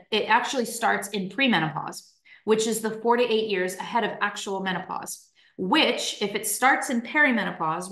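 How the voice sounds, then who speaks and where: A woman's voice: mid-range voice, fairly bright tone. Chatty woman in her thirties, roomy sound. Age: 30s. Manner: chatty, upbeat, many fillers and "right?". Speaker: strength coach. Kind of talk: casual talk to an online group. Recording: roomy echo.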